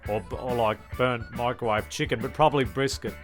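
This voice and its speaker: A man's voice: medium pitch, fairly even intonation, a little fast, soft background music, close to an everyday voice. A man with an Australian accent playing a breezy, placating scriptwriter who chats matily in a comedy radio play. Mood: explaining. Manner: chummy, matey, stumbling explanations. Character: breezy, placating scriptwriter managing his cast.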